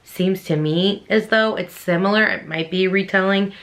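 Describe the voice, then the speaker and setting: A woman in her thirties from Texas with a Texan accent, chatting quickly and casually to camera about books she wants to read. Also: medium pitch, fairly bright tone. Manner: chatty, quick, addresses viewers as y'all. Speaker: book vlogger.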